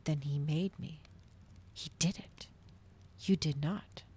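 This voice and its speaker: A woman voicing a cold, demanding child vampire girl in a storybook, with hushed, insistent questioning. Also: low voice, slow, slightly rough, exaggerated voice. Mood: accusing. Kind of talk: ASMR storybook character reading.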